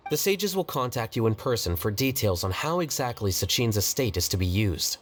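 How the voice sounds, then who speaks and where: An actor playing a cool, blunt scribe in a video game, speaking in a measured, dry, formal way. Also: male voice, lowish voice, big pitch swings, slight background noise. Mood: formal, detached. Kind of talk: English video game voice acting.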